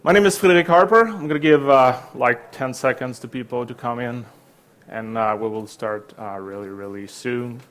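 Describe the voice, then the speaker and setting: A man's voice: mid-range voice, moderate pace, a bit bright. A man with a French accent in his thirties, giving a chatty, joking conference talk in a hall, with many fillers. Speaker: conference speaker.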